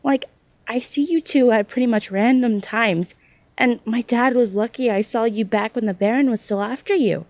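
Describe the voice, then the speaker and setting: A woman playing a hesitant, self-doubting young psychic in a naturalistic audio drama, speaking in halting phrases. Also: fairly high pitch, a little mellow, no room sound, close to an everyday voice. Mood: unsure, explaining.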